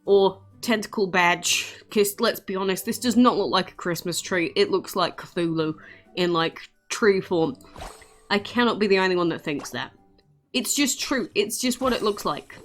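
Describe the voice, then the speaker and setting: A woman's voice: mid-range voice, normal speed, soft background music. A woman giving casual, playful commentary on a game as she plays, chatty and informal. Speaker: gaming video creator.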